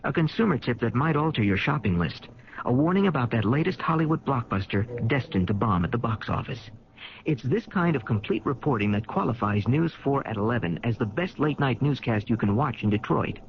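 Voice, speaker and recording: A man's voice: mid-range voice, expressive, fairly quick, creaky. An American man reading a scripted promotional announcement as a commercial announcer. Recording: dull, hissy old radio broadcast.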